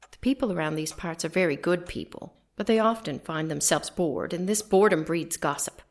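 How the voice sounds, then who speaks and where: A woman playing a loyal, guarded servant in an audio drama, speaking gravely and respectfully. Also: fairly low pitch, brisk, lightly acted.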